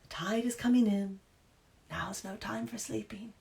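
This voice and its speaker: A woman's voice: medium pitch, measured pace, gravelly, darkish tone, recorded from a distance, pitched above the performer's own voice. A woman voicing a chorus of sea creatures, coaxing a sleeper awake in a sing-song way.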